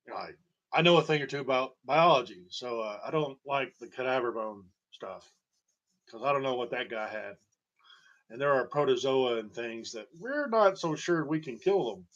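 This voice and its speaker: A man telling a personal story in a halting, digressive monologue with a wry, emphatic manner. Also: medium pitch, a bit bright, dull audio. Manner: halting, digressive, wry, chuckling at grim details. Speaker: storyteller and host. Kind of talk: rambling personal monologue about own medical history.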